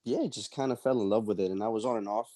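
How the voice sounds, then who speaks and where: A young man from El Salvador, a fighter being interviewed, answering casually and haltingly with many ums and uhs. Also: on the low side, dark tone, no room sound, studio quality. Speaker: MMA fighter guest.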